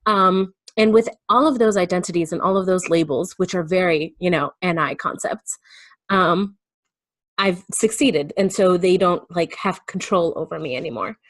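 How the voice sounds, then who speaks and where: Slightly low-voiced woman, close and dry. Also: normal speed, dry recording. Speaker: guest. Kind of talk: casual reflective conversation.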